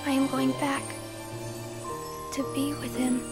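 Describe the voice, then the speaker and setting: An actress voicing a gentle teenage girl who is sadly saying goodbye in a video game cutscene, soft and wistful. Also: high-pitched, little pitch movement, gravelly, heavily acted. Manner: soft, slow, wistful farewell delivery. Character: gentle fading teenage girl, sad and resigned. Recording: game audio under loud music.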